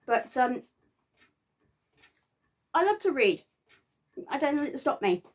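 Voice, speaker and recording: A woman chatting casually to viewers as a vlogger, halting and sometimes stumbling over words. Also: sits high, very animated. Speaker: vlogger reviewing a theatre visit. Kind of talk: casual unscripted chat to viewers.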